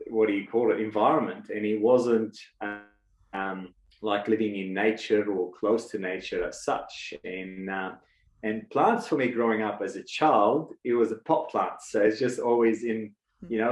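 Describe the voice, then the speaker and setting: A man's voice: lowish voice, roomy sound, rough recording. A man from Lebanon, a guest speaker, talking reflectively with many fillers and restarts. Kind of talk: reflective casual talk.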